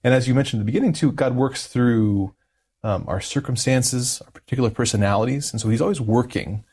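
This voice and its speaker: A man's voice: medium pitch, brisk, a little mellow, slightly rough. A man hosting a conversational interview, with tentative, circling phrasing and frequent check-ins with the listener. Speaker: interview host.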